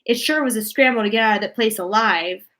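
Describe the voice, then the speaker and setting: A woman voicing an excitable, folksy male astronaut from a storybook, gushing as he reports. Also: a little high, quick pace, lightly acted. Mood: relieved. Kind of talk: storybook read-aloud character voice.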